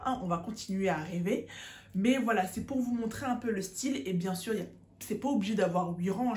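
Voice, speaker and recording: A woman's voice: mid-range voice, darkish tone, slight background noise. Woman, casual vlog, hesitant and chatty, echoey room. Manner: chatty, many hesitations, mixes in English words. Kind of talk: casual chat to camera.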